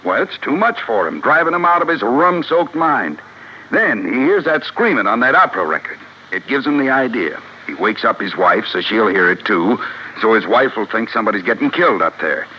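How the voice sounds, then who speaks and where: An actor playing a blunt, insistent American police detective in a 1950s radio crime drama, slangy and emphatic. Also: male voice, medium pitch, big pitch swings, moderate pace, natural voice. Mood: insistent, excited. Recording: muffled, noisy old radio recording.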